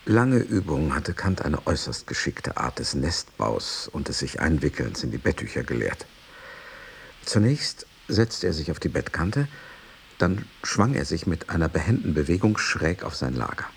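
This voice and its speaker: Loyal sober companion, deep creaky voice, measured and precise, radio play narration. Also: male voice, sing-song, slight background noise, full character voice. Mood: sober, reflective. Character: sober, devoted male companion recalling an aging master. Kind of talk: literary narration in radio drama.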